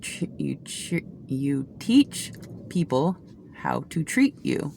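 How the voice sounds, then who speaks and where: A woman's voice: sits low, big pitch swings, takes it slowly, gravelly, slight background noise. Chatty rambling woman reading tarot. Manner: chatty, many restarts and asides; says she has a loud voice. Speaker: tarot reader. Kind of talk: casual rambling tarot chat.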